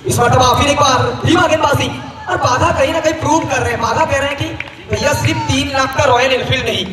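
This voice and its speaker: Casting: male cricket commentator, very high, shouting over PA echo from afar. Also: fairly even intonation, fast talker. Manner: rhyming, showy, shouted commentary. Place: floodlit outdoor cricket ground. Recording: echoey PA sound from far off, loud crowd.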